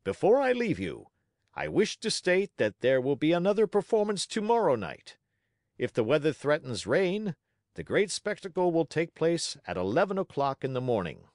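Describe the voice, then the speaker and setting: Man playing a pompous circus ringmaster, pitched up. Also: wildly swooping pitch, moderate pace, darkish tone, no echo, clean studio sound. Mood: pompous, boastful. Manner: grandiose, declamatory showman's address. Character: pompous, domineering male circus ringmaster showing off animals.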